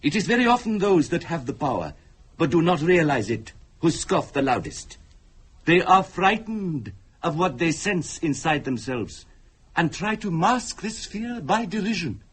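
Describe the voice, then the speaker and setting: An actor playing a formal, courteous mystic who speaks deliberately and eerily in a dramatic radio thriller. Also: male voice, a little high, big pitch swings, breathy edge, full character voice. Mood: grave, insistent. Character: calm, eerie male mystic telling of curses and spirits.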